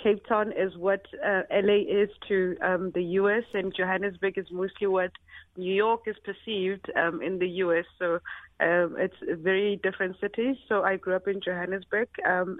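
A woman from South Africa in her forties, an entrepreneur speaking in a measured, thoughtful way with frequent pauses. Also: medium pitch, flat delivery, no echo. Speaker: entrepreneur and company founder. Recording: tinny phone line.

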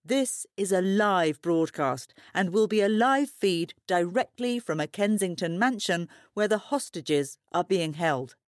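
An actress playing a composed, grave news anchor in an audio drama, presenting in a formal, measured way. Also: female voice, fairly low pitch, animated, dry recording, clean studio sound. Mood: solemn.

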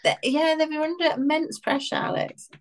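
A woman's voice: high-pitched, normal speed, slight background noise. A British woman co-hosting a fan podcast in casual, conversational chat. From Britain. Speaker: podcast co-host.

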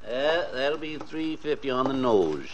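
An actor playing an easygoing, folksy small-town gas station attendant in an old radio drama. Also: male voice, medium pitch, very animated, unhurried. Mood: chatty. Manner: folksy, neighborly. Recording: dull, noisy vintage radio recording.

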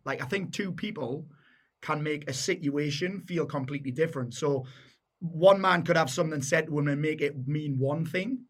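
A man with a Geordie accent and a deep, loud voice, chatting bluntly and matily as a podcast guest and swearing freely. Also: moderate pace. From North East England. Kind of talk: casual chat.

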